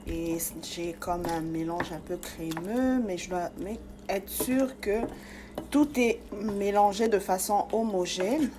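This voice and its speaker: Brief: woman cooking-channel host from Congo-Kinshasa, mid pitch, casual step-by-step chat, faint kitchen noise. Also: takes it slowly. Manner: chatty, step-by-step, many fillers.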